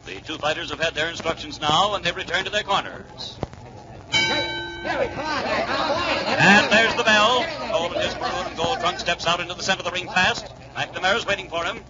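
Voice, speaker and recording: An actor playing an excited ringside announcer calling a school boxing match in dramatic 1940s radio style. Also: male voice, sits high, natural voice. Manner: rapid-fire, dramatic, emphatic repetition. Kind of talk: 1940s radio blow-by-blow commentary. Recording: muffled, noisy 1940s radio, roaring crowd.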